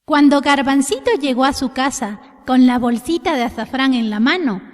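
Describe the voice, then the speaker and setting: A woman narrating a scripted children's tale in an expressive storytelling style. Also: high voice, normal speed. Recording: long hall reverb.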